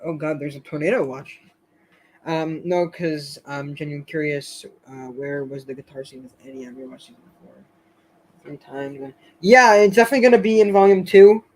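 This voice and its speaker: A man hosting a casual live stream, chatting with viewers in a rambling, hesitant way full of fillers and restarts, his voice going a bit hoarse. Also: on the high side, moderate pace, darkish tone, low-quality recording. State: slightly hoarse, losing his voice.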